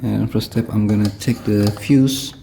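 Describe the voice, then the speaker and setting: A man with an Indonesian accent giving a hands-on repair tutorial in halting, simple phrases. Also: lowish voice, steady tone, creaky, warm tone, a little hiss or hum. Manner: halting, short phrases in simple non-native English.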